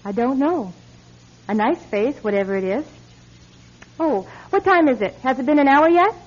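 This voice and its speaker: A friendly, earnest young woman with an air of mystery in an old radio play. Her voice is rather high and breathy. The recording is dull and lo-fi. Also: expressive, normal speed. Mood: warm, teasing. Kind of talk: classic radio drama acting.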